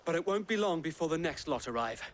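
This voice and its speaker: A man's voice: on the high side, animated, quick pace, a little hiss or hum, close to an everyday voice. A man playing a loyal, courteous knight who commands men in a game drama, speaking formally and dutifully. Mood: determined. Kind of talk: video game drama acting.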